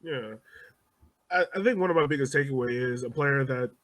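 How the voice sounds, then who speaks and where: A man hosting a casual soccer fan podcast, talking in an animated, playful, slangy way. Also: mid-range voice, brisk. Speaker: sports podcast host.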